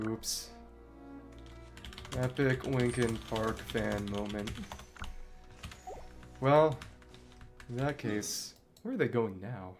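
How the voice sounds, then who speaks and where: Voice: medium pitch, very slow, pauses often, soft background music. A game streamer chatting casually over gameplay, thinking aloud in a wry way. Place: indoors at a computer.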